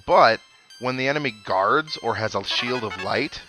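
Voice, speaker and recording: A man's voice: mid-range voice, expressive, moderate pace, low-quality recording. A man giving casual, rambling let's play commentary, thinking aloud as he plays. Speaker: gaming host. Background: video game music.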